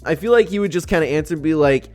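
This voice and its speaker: A man's voice: a little high, racing. A man hosting a gaming tier-list video, chatting casually and animatedly as he ranks people, with music behind him. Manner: animated, chatty, acts out imagined voicemails. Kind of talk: casual ranking commentary with impressions. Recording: background music under the voice.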